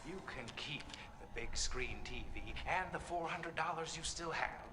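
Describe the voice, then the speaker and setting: A man playing a sinister, gleeful game show host who taunts with a showy sales pitch in a video game. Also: moderate pace, natural voice. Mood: gleeful showmanship. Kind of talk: theatrical video game cutscene acting. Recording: loud music behind the voice.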